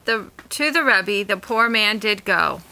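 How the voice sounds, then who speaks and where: A woman reading a rhyming storybook aloud as narrator, in a deliberate, expressive way. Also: mid-range voice, low-quality recording.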